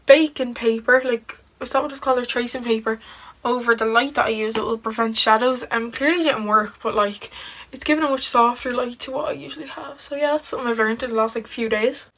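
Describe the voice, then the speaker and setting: A woman's voice: fairly high pitch, fairly bright tone. A teenage girl, chatting casually through a get-ready-with-me video and answering questions about herself. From Ireland. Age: teens. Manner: chatty, giggly, lots of "like" fillers. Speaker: beauty vlogger.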